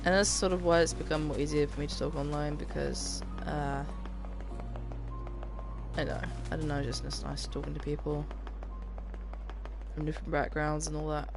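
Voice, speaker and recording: A game streamer chats casually with viewers between bits of play, mumbling in a mostly monotone, mid-pitched voice. Game music runs underneath the whole time. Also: normal speed, gravelly, long pauses. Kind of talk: casual chat while gaming.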